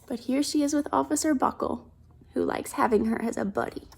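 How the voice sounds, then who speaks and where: A woman's voice: high voice, fairly quick, creaky, noisy background. A woman reading a picture book aloud to children, expressively, with simple asides and questions about the pictures.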